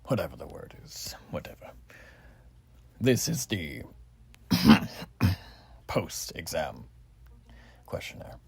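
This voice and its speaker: Man playing a slow, low, hushed revolutionary soldier. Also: very animated, dry recording, full character voice. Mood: polite, probing. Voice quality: soft, breathy, often near whisper. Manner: formal, old-fashioned, slow deliberate phrasing. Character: zealous, folksy revolutionary war soldier examining a new recruit. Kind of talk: ASMR roleplay exam.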